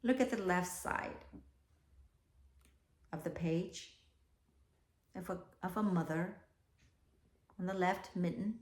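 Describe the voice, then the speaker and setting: A woman reading a children's picture book aloud expressively, often pausing to ask her young listeners questions. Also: on the low side. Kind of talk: children's read-aloud, alternating slow reading with asides about the pictures. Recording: echoey, roomy sound.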